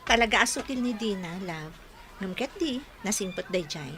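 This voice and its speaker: A woman's voice: mid-range voice, animated, normal speed, fairly bright tone, close to an everyday voice. A woman with an Ilocano accent acting a sweet, devoted young sweetheart in an audio drama, affectionate in manner. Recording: faint background noise.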